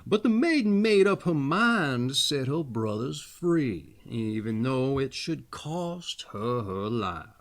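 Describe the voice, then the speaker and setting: A man reading a fairy tale aloud as a storyteller, with expressive, theatrical narration. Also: mid-range voice, slow pace, hardly any pauses.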